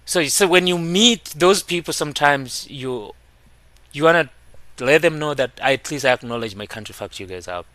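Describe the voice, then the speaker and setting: A man from Kenya hosting a casual reaction video. He talks in an animated way and breaks into laughter when he makes a point. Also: on the high side, normal speed, no room sound.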